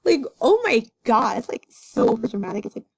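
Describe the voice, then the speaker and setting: Casting: woman amateur reader, high voice, slangy, incredulous casual commentary. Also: big pitch swings, a little slow, vocal fry, slightly rough.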